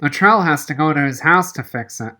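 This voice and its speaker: Bright-voiced woman reading a story aloud. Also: deep voice, expressive, fairly quick. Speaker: reader of a children's novel. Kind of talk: audiobook-style read-aloud narration.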